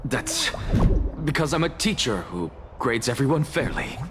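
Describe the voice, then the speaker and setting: An actor playing a haughty, sardonic scholar in a fantasy game, speaking in a dry, condescending, formal way. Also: male voice, mid-range voice, huge pitch swings, unhurried. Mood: irritated. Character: haughty, sardonic male scholar and blasphemous teacher. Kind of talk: scripted fantasy game dialogue. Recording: game music behind the voice.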